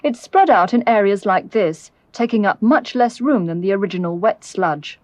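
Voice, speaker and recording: A woman's voice: medium pitch, lively intonation, normal speed, a little mellow, no room sound. British woman narrating, dry close recording. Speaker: documentary narrator. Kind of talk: scripted educational film narration.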